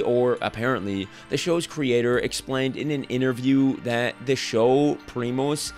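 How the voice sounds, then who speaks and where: A young man talks through a scripted commentary with a slightly hoarse, worn-down voice. His delivery is casual and loose, full of "like" fillers, at an ordinary middle pitch. Soft music plays faintly under him. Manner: casual, filler-heavy with frequent "like". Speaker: commentary YouTuber. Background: soft background music.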